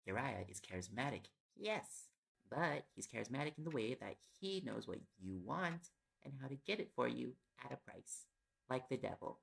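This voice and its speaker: Man performing a young boyfriend, audio roleplay, heavy vocal fry, medium pitch, affectionate, teasing, coaxing, intimate. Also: big pitch swings, normal speed, exaggerated voice. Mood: chatty, fond. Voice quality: gravelly, creaky. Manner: playful coaxing, chatty. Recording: loud background noise with clicks. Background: ticking and clicking sounds.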